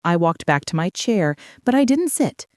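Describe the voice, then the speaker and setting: Low, expressive woman storyteller. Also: dry recording, studio quality. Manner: calm, measured and quietly menacing. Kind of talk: first-person scripted story narration.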